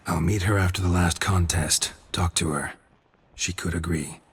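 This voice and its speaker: An actor playing a stoic, world-weary monster hunter in a video game, speaking in terse, deadpan lines with a gravelly, husky voice. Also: male voice, low-pitched, moderate pace. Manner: terse, deadpan, clipped sentences.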